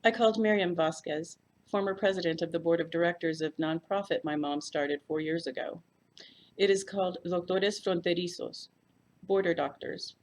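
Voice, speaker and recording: Lower-voiced woman reading a mystery. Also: normal speed, darkish tone. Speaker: volunteer audiobook reader. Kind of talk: first-person mystery novel read aloud.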